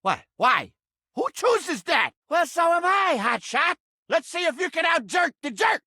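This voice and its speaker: Cartoonish talking mousetrap for a game, Brooklyn accent, high piercing voice, brash, abrasive, overdramatic. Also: male voice, big pitch swings, unhurried, sharp tone, dry recording, studio quality, exaggerated voice. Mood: sarcastic. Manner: abrasive, wisecracking, theatrical street-tough delivery. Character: cranky, foul-mouthed talking rat trap, brash and overdramatic. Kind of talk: exaggerated comedic video game character acting.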